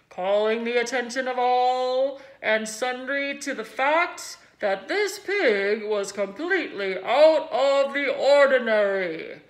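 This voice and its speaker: A pompous male fair announcer, put on by a woman reader, booming from far off with big, soaring swings in pitch. Every proclamation is drawn out and grand. The sound is roomy and echoing.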